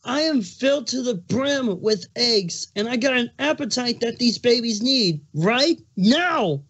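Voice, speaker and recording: Moody pregnant changeling creature, played by a man: high-pitched, irritable, sarcastic cartoon diva with a huge appetite. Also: expressive, measured pace, fairly bright tone, husky, exaggerated voice. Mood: indignant, defensive. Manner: snappish, touchy, sarcastic. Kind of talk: cartoon fan fiction dramatic reading.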